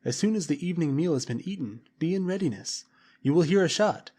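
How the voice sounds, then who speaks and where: A man voicing a scheming camp leader who gives smooth, commanding orders. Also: on the high side, animated, a little fast, warm tone, voice pushed up.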